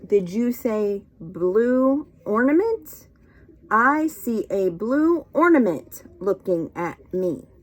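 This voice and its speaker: A woman leading a children's storytime with a fairly high voice that swings widely in pitch. She reads very slowly and expressively, in a sing-song, encouraging teacher's manner, inviting little listeners to join in. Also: very animated. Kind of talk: interactive read-aloud to young children.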